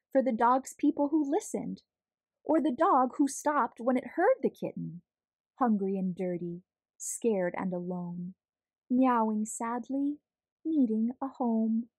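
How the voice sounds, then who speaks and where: A woman reads a picture book aloud for young children. Her voice sits fairly high and has a breathy, husky edge. She takes her time, phrasing deliberately and expressively, and the recording is close and dry. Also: no echo. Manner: measured, deliberate, expressive storytelling. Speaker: read-aloud storyteller, host.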